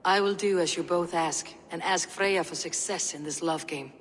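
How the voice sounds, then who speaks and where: An actress playing a steely young Viking warrior woman in a video game, speaking in measured, formal, old-fashioned phrases. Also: lowish voice, slight background noise, close to an everyday voice. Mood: measured, curious. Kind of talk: video game cutscene acting.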